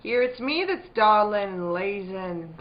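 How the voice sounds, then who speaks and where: Radio play casting: actress as brisk farm widow, medium-pitched, rustic and fussing, scolding yet protective, dull recording. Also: female voice, expressive, lightly acted. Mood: chiding, wistful. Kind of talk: old-time radio drama acting. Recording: muffled live stage recording.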